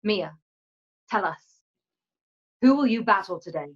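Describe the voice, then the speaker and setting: A woman acts out a dignified leader presiding over warrior rites. She declaims formally in a medium-pitched voice for a radio drama. The recording is a little dull and lo-fi. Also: animated, measured pace. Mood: ceremonial. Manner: ceremonial, declamatory. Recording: slightly dull, lo-fi sound.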